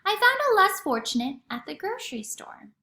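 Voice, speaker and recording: Lady reading as a eager little kid girl from a storybook, high n pleading, echoey. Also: big pitch swings, normal speed, pushed and bright, heavily acted. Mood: careful pleading.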